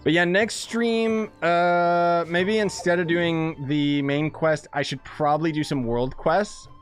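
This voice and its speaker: A young man casually commenting on a game during a live stream, thinking aloud in a relaxed, chatty way. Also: fairly high pitch, slow pace, soft background music.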